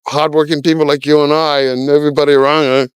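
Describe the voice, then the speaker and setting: A man's voice: fairly high pitch, flat delivery, fast, smooth, dry recording. A man in his sixties, originally from Trinidad and Tobago, giving candid, opinionated answers in a casual street interview, with lots of "you know.". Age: 60s.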